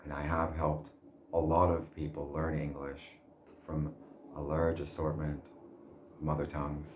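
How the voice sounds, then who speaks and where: A man with a very deep, flat voice talks slowly and deliberately in a casual vlog monologue. He pauses often and laughs softly now and then. The sound is roomy, with a faint hum behind him. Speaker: language learner vlogger.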